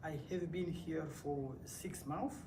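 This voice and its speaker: A man's voice: on the high side, slow. A man from the Republic of the Congo with a Congolese French accent, whose first language is French, introducing himself hesitantly in a short recorded video. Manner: hesitant, with fillers. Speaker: adult education student. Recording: distant recording with ambient noise.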